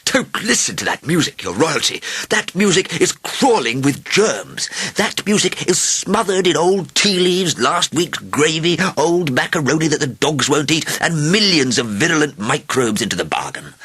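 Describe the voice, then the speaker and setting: Storybook royal doctor, acted by a male reader: high, fussy, panicky, forceful shouting. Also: sing-song, normal speed, slightly rough, exaggerated voice. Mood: alarmed disgust.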